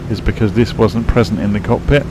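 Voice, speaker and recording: A man streaming a flight simulator, explaining cockpit instruments in a rambling, self-correcting way, his voice croaky and tired from a late night. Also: fairly low pitch, steady tone, brisk, noisy background. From England. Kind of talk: casual live-stream commentary.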